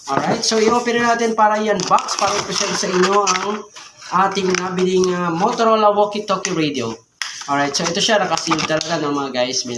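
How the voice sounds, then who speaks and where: Filipino man vlogging in an echoey room. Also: on the high side. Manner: repeats check words like "alright" and "okay", explanatory. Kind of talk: casual unboxing and tutorial. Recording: echoey room with background noise and clicks.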